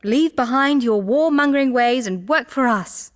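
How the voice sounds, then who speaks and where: A woman's voice: high voice, normal speed, close to an everyday voice. An actress playing a shrewd, teasing female antiquities trader in a video game, speaking with wry self-assurance. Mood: shrewd, intrigued. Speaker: game character. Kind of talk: theatrical video game voice acting.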